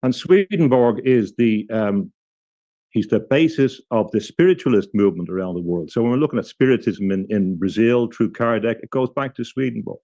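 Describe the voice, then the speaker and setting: A man with a lowish, mellow voice talks through ideas in a measured, halting way, often backing up and restarting mid-sentence. He speaks as an interview guest, and the sound is dry and close. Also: expressive, dry recording. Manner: thoughtful, halting, frequent restarts. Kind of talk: explanatory interview talk.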